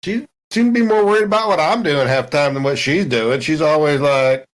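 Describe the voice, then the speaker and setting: Man playing a folksy, higher-voiced spaceship crewman. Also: brisk, natural voice. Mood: uneasy, grumbling. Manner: drawling, rustic, rambling small talk with homespun sayings. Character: folksy, easygoing, overconfident spaceship crewman named Bosco. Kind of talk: improvised in-character dialogue in a tabletop game.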